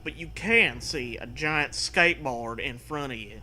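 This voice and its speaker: A man reading a comedy story aloud in an animated way, acting out the dialogue and bantering with casual swearing. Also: mid-range voice, unhurried, a little hiss or hum.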